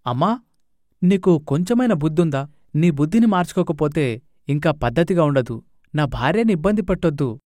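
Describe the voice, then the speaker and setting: A man's voice: fairly high pitch, expressive, brisk, pauses often, warm tone, dry recording, clean studio sound, lightly acted. A man playing an earnest, firm young husband who is protective of his family in a cartoon moral story. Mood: reproachful.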